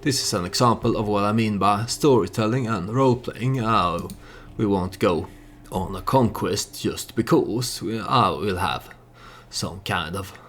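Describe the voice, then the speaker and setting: A man's voice: on the low side, unhurried, a bit bright, husky. A man giving casual, storytelling commentary on a game he plays, hesitant and thinking aloud as he goes. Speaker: let's play host and storyteller.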